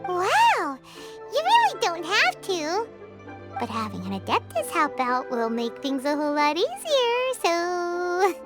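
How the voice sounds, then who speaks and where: A tiny, excitable fairy companion chatters in an extremely high, squeaky child's voice. Her delivery leaps all over the range in bursts of exclamation, as in an anime-style game cutscene. Music plays prominently behind her. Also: takes it slowly, full character voice. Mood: excited. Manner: exclamatory, refers to herself in third person. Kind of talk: video game cutscene voice acting. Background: background music.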